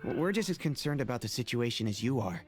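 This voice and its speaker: A man's voice: mid-range voice, big pitch swings, fast, over loud music, natural voice. Serious, loyal mercenary man played by an actor. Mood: earnest, informative. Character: serious, loyal male mercenary giving scouting reports. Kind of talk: video game voice acting.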